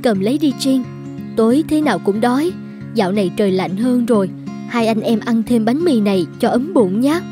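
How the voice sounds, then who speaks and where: A woman's voice: a little high, lively intonation, moderate pace, music in the background, close to an everyday voice. A woman voicing a kindly, compassionate orphanage carer in a cartoon, with a sympathetic, worried manner. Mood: gentle. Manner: sympathetic, caring. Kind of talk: animated children's story dubbing.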